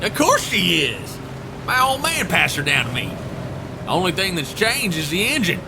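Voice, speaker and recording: A man playing a gruff, folksy, blunt boatman in an audio drama. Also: big pitch swings, moderate pace, heavily acted. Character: gruff, folksy male boatman with a curt temper. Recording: engine rumble and noise behind.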